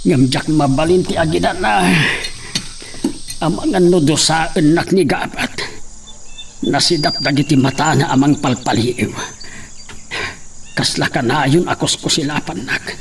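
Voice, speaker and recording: A gravelly, rather high voice: a man acting an old labourer in a radio drama. He grumbles and mutters warily, with faint insect noise behind him. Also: unhurried, long pauses. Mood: uneasy, muttering. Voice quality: creaky.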